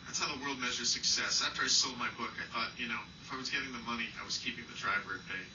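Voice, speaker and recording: A man's voice: medium pitch, very fast. A man who is an author reading a personal essay aloud live, with a wry, self-deprecating manner, recorded from a distance indoors. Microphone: distant from the speaker. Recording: distant, roomy, muffled, noisy live recording.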